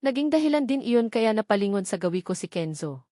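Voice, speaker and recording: A woman narrates a romance-style novel in the first person, reading from a script. Her medium-pitched voice is recorded very dry, with hardly any room sound around it. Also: sing-song, brisk, dark tone, no echo. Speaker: audiobook narrator.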